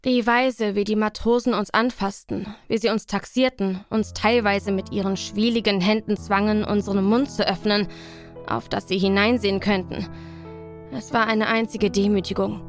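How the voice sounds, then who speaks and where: A woman's voice: mid-range voice, fairly even intonation, normal speed, faint music behind, lightly acted. A woman narrating a radio drama in the first person, earnest and somber.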